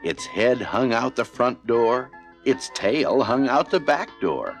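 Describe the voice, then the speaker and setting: A man's voice: mid-range voice, sing-song, slow pace, gravelly. A man narrating a children's read-along storybook in a scripted style. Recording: music playing behind narration.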